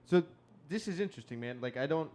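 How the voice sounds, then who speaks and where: A man's voice: fairly high pitch, expressive, fast talker, darkish tone, a little hiss or hum. A man hosting a podcast, chatting in a laid-back, rambling and enthusiastic way. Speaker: podcast host. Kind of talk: casual interview chat.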